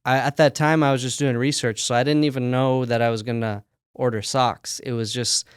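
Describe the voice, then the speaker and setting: Smooth-voiced man telling his story. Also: mid-range voice, no echo, studio quality. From United States. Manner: relaxed storytelling with frequent fillers and quick laughs. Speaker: sock company founder, guest. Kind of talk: casual podcast interview.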